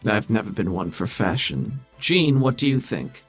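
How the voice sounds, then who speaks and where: A man playing a cool, scheming, condescending political mastermind who speaks formally and with measured authority in a tabletop game. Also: lowish voice, sing-song, breathy edge, faint music behind, full character voice. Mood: disdainful.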